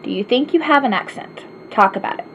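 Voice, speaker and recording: A woman's voice: fairly high pitch, expressive, fairly quick, a bit bright. A woman with an Arkansas accent, chatting casually and rambling in a self-deprecating way in a home vlog. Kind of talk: casual vlog answering questions. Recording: slightly dull home recording with faint hiss.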